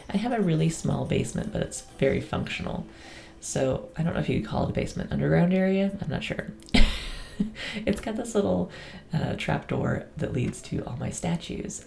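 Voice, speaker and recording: A woman with a raspy voice, getting over a cold, casually touring her game world in a relaxed, chatty voice-over with soft ums. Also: very deep voice, a little hiss or hum. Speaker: gaming channel host.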